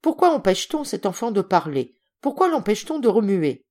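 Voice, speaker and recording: Woman putting on a blustering, lively old general. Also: medium pitch, brisk, voice pushed up. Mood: indignant. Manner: blustering, exclamatory, imperious. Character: gruff, hot-tempered old male general, kindly underneath. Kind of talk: acted storybook reading.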